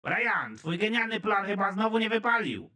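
A man's voice: high voice, big pitch swings, moderate pace, bright, forceful tone, heavily acted. A man voicing a sardonic young male kidnapper who mocks his partner in a dry tone. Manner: dry, mocking.

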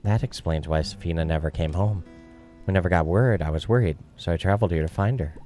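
A man's voice: sits low, quick pace, dark tone, lightly acted. A man voicing an earnest, worried young witch girl in a video game. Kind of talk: voiced game dialogue. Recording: music behind.

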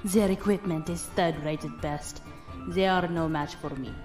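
Woman acting a low-voiced, cool pirate specialist. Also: fairly even intonation, close to an everyday voice. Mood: coolly confident. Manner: measured, terse, unemotional. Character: cool, proud female master tactician pirate. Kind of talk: audio drama acting. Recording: music behind the voice.

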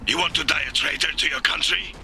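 An actor playing a fierce, defiant middle-aged rebel commander in a video game, gruff, blunt and commanding. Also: male voice, a little high, fairly even intonation, quick pace, natural voice. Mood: scornful defiance. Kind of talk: dramatic video game voice acting.

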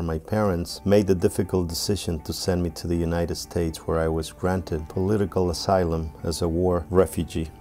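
Earnest man from El Salvador, lowish voice. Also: mellow. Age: 40s. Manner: earnest, measured, with frequent "uh" pauses. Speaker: political candidate. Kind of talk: campaign video address. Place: outdoors on city streets and inside a restaurant. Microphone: lapel mic.

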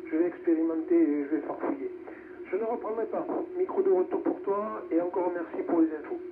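A male amateur radio operator speaks casually in a high voice. The sound is a thin radio signal with echo. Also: a little fast. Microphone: heard through a radio receiver speaker. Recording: narrowband radio with noise and reverberation.

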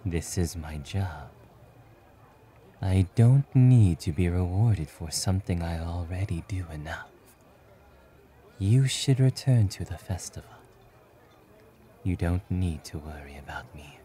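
A man voicing a stoic, quietly kind young demon-slaying hero in a soft, breathy romantic ASMR roleplay. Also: deep voice, slow, long pauses. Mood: aloof, reserved. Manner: aloof, terse, gently reassuring.

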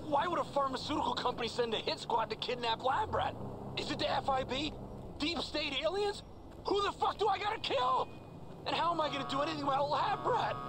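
A very high-pitched, paranoid crook. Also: male voice, animated, a little fast. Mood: agitated, paranoid. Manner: agitated, rambling questions. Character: frantic, paranoid, foul-mouthed drug-dependent fixer in a crime game. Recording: loud game noise, radio and engines. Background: game ambience, radio, vehicles.

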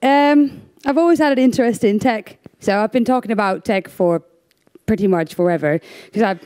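A woman's voice: medium pitch, moderate pace, darkish tone. A young woman from the Netherlands giving a conference talk on stage, chatty and self-deprecating with lots of fillers and restarts. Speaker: conference speaker.

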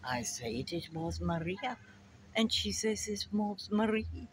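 An older woman with a German accent, musing slowly to a phone camera in her backyard about memory and family. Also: medium pitch, lively intonation, background noise. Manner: slow, musing, drifting between thoughts. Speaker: vlogger. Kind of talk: rambling personal monologue to camera. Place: backyard outdoors by a fence. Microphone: phone camera at arm's length. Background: crickets and insects.

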